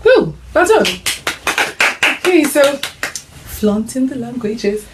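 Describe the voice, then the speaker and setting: A woman's voice: high-pitched, sing-song, slow, heavy background noise. A middle-aged woman from Nigeria hosting a casual chat show for mums, upbeat and playfully teasing, with frequent ums. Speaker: host of an online mums' group show. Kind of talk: casual chat, interviewing her son.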